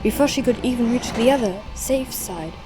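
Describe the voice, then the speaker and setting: A woman's voice: fairly high pitch, normal speed, very noisy. A woman narrator reading scripted story narration for an audio drama.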